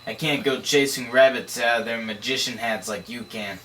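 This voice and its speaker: Man reading as bright-voiced slangy police detective. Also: mid-range voice, moderate pace, lightly acted. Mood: insistent, dismissive. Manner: wisecracking, slangy, conversational. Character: slangy, skeptical male 1930s police detective. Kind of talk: noir dialogue at a table read. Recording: distant mic, faint room noise.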